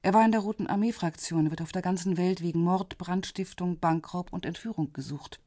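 An actress playing a cool, insistent police intelligence investigator in a radio crime drama, husky, controlled and businesslike. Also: female voice, lowish voice, fairly quick, dark tone, dry recording, clean studio sound, lightly acted. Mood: factual, businesslike. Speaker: supporting actor.

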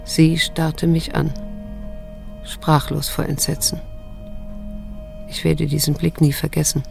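A hurt adult daughter telling memories in a radio play, sober n measured, bit of fry, music behind. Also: female voice, low voice, little pitch movement, heavily acted. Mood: remorseful. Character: wounded, restrained adult daughter narrating her memories. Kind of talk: radio drama narration and dialogue.

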